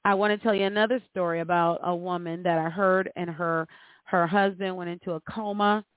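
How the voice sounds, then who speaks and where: A woman's voice: on the low side, steady tone, fairly quick, no echo. A woman hosting a spiritual forecast, speaking earnestly and urging her listeners on with emphatic repetition. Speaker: host of astrology forecasts. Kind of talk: spiritual commentary with anecdotes. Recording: thin, narrow phone-like sound.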